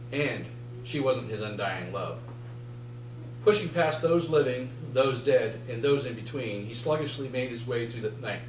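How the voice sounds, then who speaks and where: A man reading his own prose aloud as an author at a live reading, in deliberate short phrases. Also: mid-range voice. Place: indoor bookshop reading event. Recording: roomy, distant mic, faint noise and hum.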